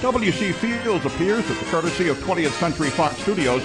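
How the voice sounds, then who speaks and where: A male radio announcer with a fairly high voice, reading scripted copy in classic 1940s style. Loud music plays behind him. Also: animated, fairly quick, low-quality recording.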